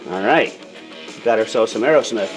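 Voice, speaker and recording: A man's voice: fairly low pitch, normal speed, soft background music, poor audio. An American man giving a casual hands-on demonstration as an instructor, explaining plainly in small steps and checking in as he goes.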